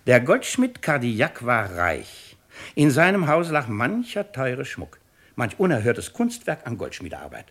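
A man playing a stern, sardonic royal judge in a 1950s German radio drama, speaking with pompous, ironic, forceful declamation. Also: medium pitch, slightly rough, heavily acted.

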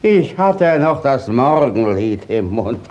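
An actor playing a battered, sly village judge in a 1950s German radio play, evasive and theatrically blustering. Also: male voice, medium pitch, very animated, slow pace, a little mellow, lo-fi sound. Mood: defensive grumbling.

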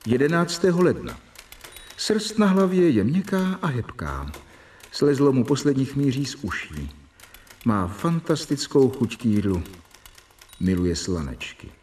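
An actor playing a young doctor who reads his research diary aloud in a measured, earnest way in a radio drama. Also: medium pitch, very animated, a little mellow, lightly acted. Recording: distant mic with faint hiss.

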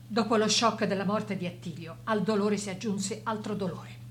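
A woman's voice: medium pitch, moderate pace, a little hiss or hum, close to an everyday voice. An actress playing an older grieving mother who refuses to believe, in a pained, dramatic radio drama monologue. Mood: pained, indignant. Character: grieving, unbelieving mother of a dead doctor.

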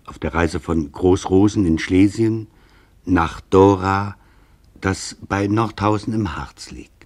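An actor as a sober investigator narrating his interviews in a scripted radio documentary drama, measured and grave, with a husky voice. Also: low voice, a little mellow.

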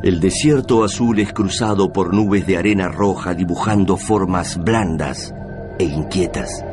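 A man's voice: deep voice, measured pace, music in the background. A man with an Argentine accent narrating a radio drama in an intense, literary, scripted style.

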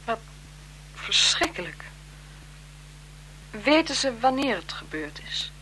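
A guarded marquise in a seventies radio drama, with a fairly high voice. She is formal and bored, often hesitant and evasive. The old recording carries a faint hiss. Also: very animated, slow pace, bright, forceful tone, exaggerated voice, poor audio. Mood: shaken, controlled. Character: bored, guarded French marquise, wife and mother. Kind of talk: 1970s Dutch radio drama acting.

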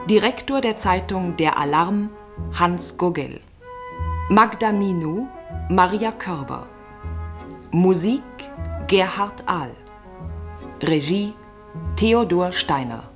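A woman announcing a radio play and its cast in a measured, formal, scripted manner. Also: lowish voice, animated. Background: music.

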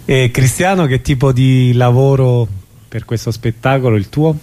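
A man's voice: medium pitch, moderate pace, dark tone. A man hosting a casual radio interview, asking questions in an informal conversational way. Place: radio studio.

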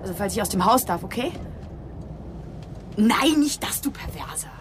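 A woman's voice: mid-range voice, big pitch swings, normal speed, close to an everyday voice. A young woman playing a brash, flippant, slangy teenage girl in a radio drama. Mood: flippant, teasing. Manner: slangy, teasing. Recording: clear car noise behind voice. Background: vehicle ambience.

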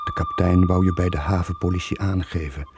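An actor playing a guilt-ridden middle-aged sailor in a radio play, with brooding, sombre narration. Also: male voice, sits low, wildly swooping pitch, brisk, over loud music. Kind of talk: dramatic radio play monologue.

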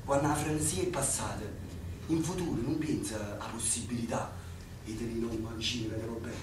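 An actor playing an earnest young Neapolitan man in a radio drama, gentle and self-mocking. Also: mid-range voice, a little fast, close to an everyday voice. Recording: echoey, distant, noisy.

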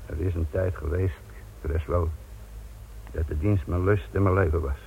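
A man's voice: low-pitched, fairly even intonation, normal speed, vocal fry, a little hiss or hum. A man acting a dogged, sardonic middle-aged police inspector in a radio drama, blunt and embittered by his work. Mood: bitter. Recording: tinny narrow-band radio drama recording.

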